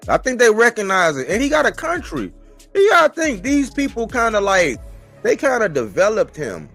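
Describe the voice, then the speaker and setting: A man in his forties with a slightly raised pitch, talking as a commentator on a live sports stream. He speaks casually and colloquially, often repeating phrases to drive a point home. Music plays faintly behind him. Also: huge pitch swings, moderate pace, fairly bright tone.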